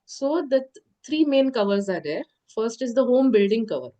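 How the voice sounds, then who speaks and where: A woman delivers an online lecture over a call, her voice nasal and stuffy from a cold. She explains step by step at a steady pace, pausing often on little fillers. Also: a little high, lo-fi sound. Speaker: insurance lecturer. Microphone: video call.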